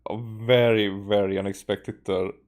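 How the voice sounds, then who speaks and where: A man's voice: lowish voice, little pitch movement, measured pace, a little mellow. A man with a Hungarian accent giving casual live game commentary in hesitant, broken-up phrases, as an easily amused streamer.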